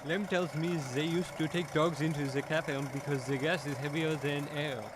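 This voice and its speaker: Man acting a calm lawman over engine noise. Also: a little high, normal speed, natural voice. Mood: calm, knowing. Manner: explanatory. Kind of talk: radio crime drama acting.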